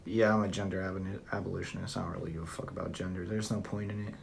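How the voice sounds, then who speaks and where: A man's voice: low-pitched, flat delivery, very fast, creaky, slight background noise. A young man with a Southern accent on a phone livestream at home, talking bluntly and profanely in a rambling way while answering chat. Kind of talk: casual live-stream chat reading comments.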